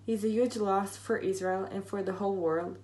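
A teenage female high school student giving a prepared reflection to a camera in a room at home. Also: fairly even intonation, moderate pace, warm tone. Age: teens. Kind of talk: prepared personal reflection speech. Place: indoors in a bright home room.

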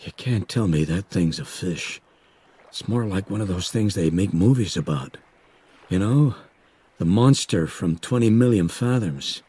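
A man's voice: sits low, lively intonation, a little slow, mellow, breathy edge, full character voice. A man voicing a plain-spoken male police chief in an audiobook reading, close to his own voice. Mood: uneasy.

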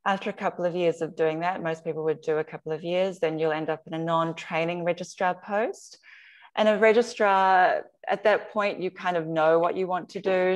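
A woman, a surgeon giving an informal slide talk over a video call in conversational, halting phrases. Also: on the low side, clear, resonant tone. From Samoa. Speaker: surgeon, guest speaker. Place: video call, remote webinar.

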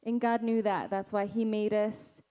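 A young woman hosts from a church stage. Her voice is mellow and clear, at an ordinary pitch, as she makes announcements in short stretches. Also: level tone, moderate pace. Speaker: church event host. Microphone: handheld microphone.

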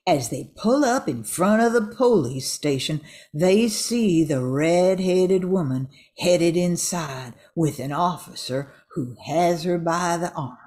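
Slow, low-voiced older woman narrator. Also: lively intonation, warm tone. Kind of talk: scripted radio drama narration.

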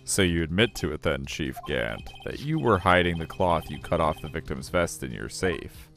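A man as low, earnest young defense attorney. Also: big pitch swings, normal speed, vocal fry, a bit bright, full character voice. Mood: determined, grave. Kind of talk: voiced visual novel playthrough.

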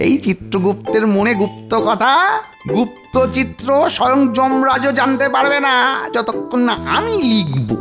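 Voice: medium pitch, expressive, moderate pace, natural voice. An actor voicing a pompous, greedy male celestial bookkeeper in a cartoon, with a fussy, theatrical delivery. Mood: scornful scolding. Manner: scolding to underlings, fawning to his lord. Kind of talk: cartoon voice acting. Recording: music behind the voice.

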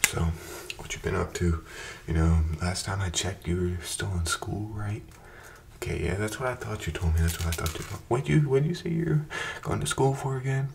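Young guy doing asmr barber chat, low raspy voice, lots of hiss in the background. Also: normal speed. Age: 20s. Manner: relaxed, repetitive, soothing chatter. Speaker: ASMR creator playing a barber. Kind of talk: ASMR roleplay, soft-spoken and whispered. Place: bright indoor room with plants. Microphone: close desk microphone in front of him.

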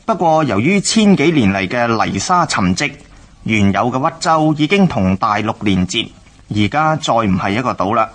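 A man narrates a historical radio drama. His mid-pitched voice moves expressively as he explains things in a measured, scripted way. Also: very animated, a bit bright.

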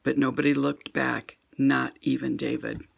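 An older woman reading a children's picture book aloud at home with gentle, expressive storytelling. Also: extremely low pitch, a little slow, vocal fry, slight background noise.

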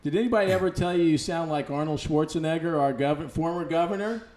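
A man's voice: a little high, fast, darkish tone, roomy sound. A man hosting a live web video interview in an office, joking easily and reading out viewer questions. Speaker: interview host.

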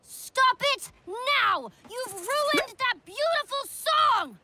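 A woman's voice: very high-pitched, animated, very slow, heavily acted. A woman voicing an earnest alien teen girl hero in a cartoon, who speaks in odd, formal phrases. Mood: indignant. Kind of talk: cartoon voice acting.

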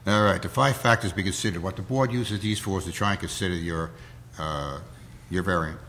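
A hoarse man with a cold, chairing a zoning board meeting in plain, folksy words, heard through a table mic in a town hall. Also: sits low, brisk, a bit bright, slight background noise. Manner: plain-spoken, folksy, many fillers.

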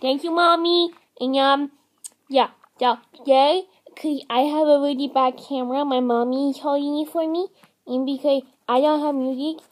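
A young-sounding female performer voicing a whiny, babyish four-year-old boy hamster in a homemade toy puppet skit, halting and repeating words. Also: sits high, steady tone, pitched above the performer's own voice, close to an everyday voice. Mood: babyish, fussy.

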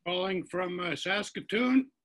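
A man's voice: high voice, measured pace, fairly bright tone. An older man in his sixties, chatting casually as a guest on a video call. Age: 60s. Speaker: actor and storyteller guest. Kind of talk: casual chat. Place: living room at home. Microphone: laptop on video call.

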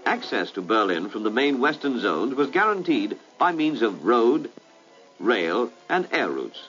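A British man narrating a 1950s public information film in a formal, measured, emphatic way, on a muffled old film soundtrack. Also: medium pitch, very animated, fairly bright tone, faint music behind.

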